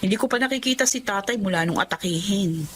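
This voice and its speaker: A woman's voice: medium pitch, quick pace, a little hiss or hum, natural voice. A woman playing a blunt, suspicious working daughter in a Filipino radio-style drama. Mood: busy, casual.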